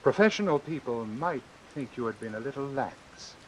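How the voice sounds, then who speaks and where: Actor as formal, calculating doctor, hissy vintage radio. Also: male voice, mid-range voice, lively intonation, lightly acted, poor audio. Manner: formal, deliberate, persuasive. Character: calculating doctor calmly arranging his own death, narrating it. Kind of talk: old-time radio suspense acting. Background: hiss and falling rain.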